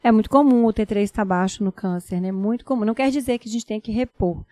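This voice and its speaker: A woman hosting a podcast, talking in a brisk, chatty way and often checking in with her listener. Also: medium pitch, warm tone, dry recording. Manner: brisk, chatty, tags questions with "né?". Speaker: podcast host, doctor. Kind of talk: conversational podcast talk.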